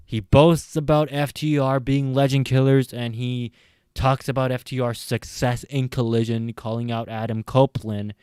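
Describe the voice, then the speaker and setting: A man's voice: mid-range voice, a little mellow, clear, resonant tone, no room sound, clean studio sound. A man giving a casual, rambling and hesitant recap with his opinions as a reviewer, his voice a bit tired from late-night talking. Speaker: wrestling show reviewer.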